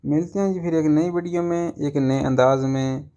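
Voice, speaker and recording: A man hosting a channel and signing off a video. His tone is warm and dark. He speaks evenly, with little variation, as he politely asks viewers for support. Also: on the high side, steady tone, normal speed. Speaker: channel host. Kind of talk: video outro.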